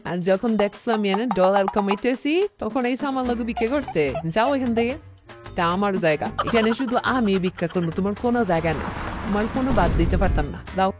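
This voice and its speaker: A woman's voice: medium pitch, fast, close to an everyday voice. Woman playing a bossy Bangladeshi beggar woman, music behind. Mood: bitter, complaining. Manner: scolding, colloquial, dramatic. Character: bossy, sulky, scheming beggar woman in exile. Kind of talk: comic cartoon dialogue.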